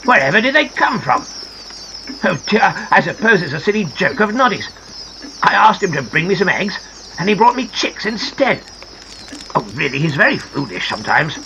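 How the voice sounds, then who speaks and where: A woman's voice: fairly low pitch, very animated, normal speed. A woman voicing a fussy, scolding but kindly male gnome from a children's storybook, exclaiming and reproving, with some background noise. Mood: cross, bemused.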